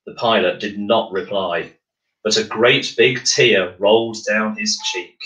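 A man narrating a children's storybook in a measured, expressive way. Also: fairly low pitch. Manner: measured, expressive, clearly phrased. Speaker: bedtime story reader. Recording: roomy, echoing room.

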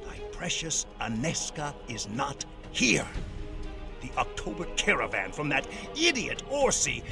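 An actor playing a haughty, raging vampire sorcerer lord in a video game, grandiose and menacing in archaic speech. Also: male voice, high-pitched, vocal fry, full character voice.

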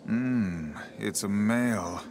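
A man's voice: lowish voice, slow pace, a little mellow, slight background noise. A man voicing a pompous, sardonic talking magical book in a video game, with formal, archaic diction. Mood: grave, sardonic. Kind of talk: dramatic game voice acting.

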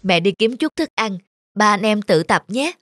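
A woman voicing a caring, patient mother chameleon who teaches her young in a children's cartoon, gentle and instructive. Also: mid-range voice, lively intonation, normal speed, dry recording, studio quality. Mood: encouraging.

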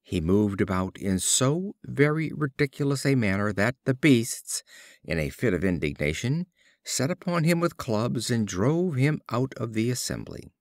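A man narrating fables as an audiobook reader, with theatrical, deliberate phrasing. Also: medium pitch, sing-song, darkish tone, dry recording, studio quality. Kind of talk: scripted storybook narration of fables.

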